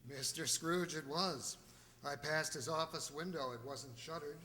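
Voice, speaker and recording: Man acting a high, bright, teasing husband. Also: a little slow. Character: genial husband sharing gossip. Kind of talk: radio play acting. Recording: reverberant hall-like sound.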